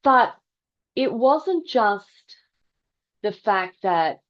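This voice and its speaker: A middle-aged woman tells a personal story in a reflective, deliberate way with dramatic pauses. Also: fairly high pitch, very animated, dull audio. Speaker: health vlogger telling a personal story.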